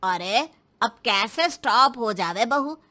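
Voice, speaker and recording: A woman's voice: a little high, expressive, slow, pushed and bright, full character voice. A woman playing a blunt visiting guest in a cartoon moral story.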